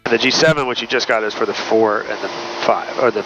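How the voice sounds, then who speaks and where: A man's voice: lowish voice, quick pace. Muffled American man chatting in a noisy cockpit. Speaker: pilot. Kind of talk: casual cockpit chat. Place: small aircraft cockpit in flight. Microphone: aviation headset. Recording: dull intercom audio, loud aircraft drone.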